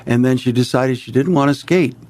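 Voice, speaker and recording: A man in his sixties, a comedian guest, tossing quick jokes and one-liners into the chat. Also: mid-range voice, a little mellow. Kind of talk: casual talk-show banter.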